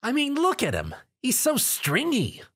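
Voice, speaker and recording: A man's voice: very high voice, wildly swooping pitch, unhurried, a bit bright, breathy edge, pitched above the performer's own voice, heavily acted. A man voicing a brash, cocky, tomboyish young female pony in a story. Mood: mocking. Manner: cocky, blunt.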